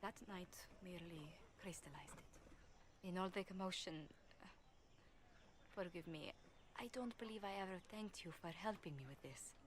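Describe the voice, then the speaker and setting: A woman's voice: lowish voice, normal speed, long pauses, close to an everyday voice. An actress as a genteel diplomat woman, noisy ambience. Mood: reflective. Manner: polite, formal, easily flustered. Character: refined, genteel woman diplomat and former bard, soft-hearted. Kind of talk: dramatic video game dialogue. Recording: game audio with background ambience.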